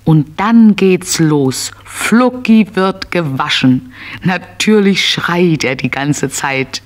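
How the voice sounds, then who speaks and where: A woman's voice: lowish voice, expressive, slow. A woman narrating a scripted children's story, telling it as if to small children.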